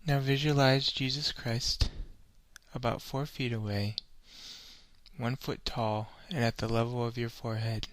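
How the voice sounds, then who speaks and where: A man leading a scripted guided meditation in a measured, solemn, instructional manner. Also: medium pitch, fairly even intonation.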